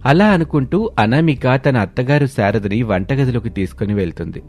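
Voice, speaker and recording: A man's voice: medium pitch, lively intonation, normal speed, darkish tone, soft background music. A man narrating a scripted moral story.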